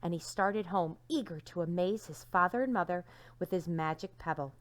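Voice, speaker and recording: A woman teacher reading a storybook aloud to young children, with dramatic emphasis and hushed endings to her phrases. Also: fairly low pitch, normal speed.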